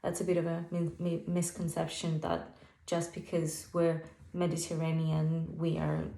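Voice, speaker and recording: A woman's voice: sits low, monotone, a little slow, mellow. A woman from Cyprus answering an interview question candidly, with light self-deprecation. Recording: echoey room.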